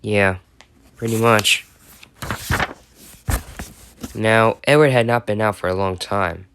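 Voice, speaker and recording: A man's voice: lowish voice, moderate pace, fairly bright tone, slight background noise. American man reading a bedtime story. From United States. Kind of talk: storytelling and chat.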